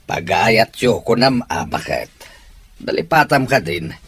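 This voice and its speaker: A man voicing a middle-aged father who speaks calmly to his wife and daughter. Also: lowish voice, a little slow, creaky, slightly rough, no echo, heavily acted.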